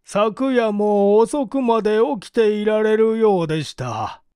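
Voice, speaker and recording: A man playing a slow, humble middle-aged manservant. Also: high-pitched, lively intonation, mellow, smooth, dry recording, clean studio sound. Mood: humble, halting. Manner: slow, halting, measured testimony. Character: humble, deferential 44-year-old village manservant giving testimony. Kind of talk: audiobook reading of a vintage mystery.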